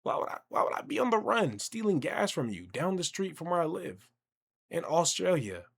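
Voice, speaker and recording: A young man hosting a casual reaction commentary, talking in an animated, conversational, slangy way. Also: high-pitched, normal speed, creaky, husky. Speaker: reaction video host.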